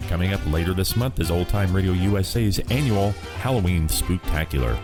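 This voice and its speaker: An American man presents a radio show in a deep voice. His delivery is scripted, in an announcer style, with music playing clearly behind him. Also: a little fast. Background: music bed.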